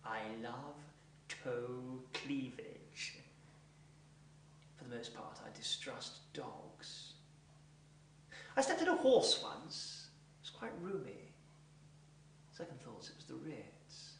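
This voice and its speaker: A man performing a comic monologue as an eccentric, pompous character, with a deadpan, deliberate, mannered delivery. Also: medium pitch, gravelly, a little hiss or hum, heavily acted. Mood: quiet, deadpan. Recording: echoey room, distant mic.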